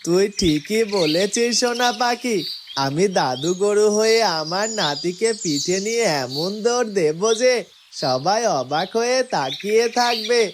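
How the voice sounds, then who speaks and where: A woman voicing a gentle, devoted talking cow with an earnest, simple-hearted manner in a fairytale cartoon. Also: medium pitch, expressive, a little slow, noisy background, natural voice. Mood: fond, earnest.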